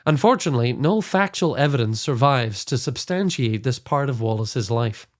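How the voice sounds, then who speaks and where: This is a man in his forties hosting a podcast, his voice a little hoarse. He reads a scripted introduction in an earnest, enthusiastic storytelling manner, at a middling pitch. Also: animated, moderate pace. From Scotland. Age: 40s. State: slightly hoarse. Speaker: podcast host.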